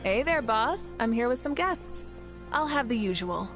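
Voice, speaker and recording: Higher-voiced, confident young heroine. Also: female voice, lively intonation, unhurried. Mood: relaxed. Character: confident, teasing young female fire leader. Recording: game music behind the voice.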